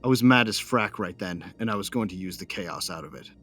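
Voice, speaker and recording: A man's voice: fairly low pitch, fairly quick, fairly bright tone, close to an everyday voice. An actor playing an angry young fighter, a betrayed son, narrating his own story intensely in an audio drama. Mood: grim resolve. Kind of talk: dramatic first-person narration and acting. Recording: music bed behind voice.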